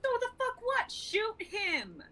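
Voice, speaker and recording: A woman's voice: very high voice, huge pitch swings, takes it slowly, pushed and bright, heavily acted. A woman playing a cocky, ruthless female bounty hunter with a sardonic, brash delivery in an amateur script reading. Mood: exasperated.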